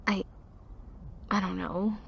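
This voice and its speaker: A woman playing a reserved, recovering young survivor in an audio drama, quiet and hesitant. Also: medium pitch, level tone, slow, vocal fry, slight background noise. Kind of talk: understated audio drama acting.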